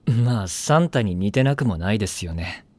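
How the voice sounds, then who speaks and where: A man voicing a cool-headed, understated high-school boy in an anime audio drama, dry and matter-of-fact. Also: lively intonation, moderate pace, a little mellow, dry recording. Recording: music faintly behind the voice.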